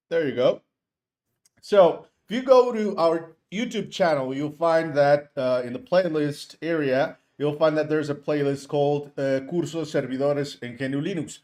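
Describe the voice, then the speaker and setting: A man's voice: on the high side, darkish tone. Hesitant man teaching live. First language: Spanish. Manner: hesitant, many fillers and restarts, friendly asides. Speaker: course instructor and streamer. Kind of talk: casual live-stream teaching.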